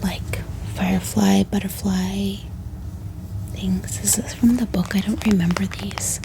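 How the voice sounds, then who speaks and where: An American woman speaking in a soft, gentle ASMR style as she presents books in a calming, unhurried manner. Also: medium pitch, creaky, background noise. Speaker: ASMR book reviewer and host. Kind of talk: soft-spoken whispered ASMR haul.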